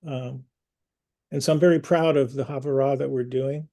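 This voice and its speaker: A man hosting an online community meeting, speaking earnestly and personally, pausing with fillers and restarts. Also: medium pitch, steady tone, mellow. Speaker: community group host.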